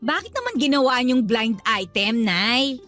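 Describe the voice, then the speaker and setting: A young Filipino woman, a nosy and easily exasperated daughter in a radio drama. She banters dramatically in a high, lively voice, with soft music behind. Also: a little slow. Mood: impatient, curious. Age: young adult. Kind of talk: Tagalog radio drama acting.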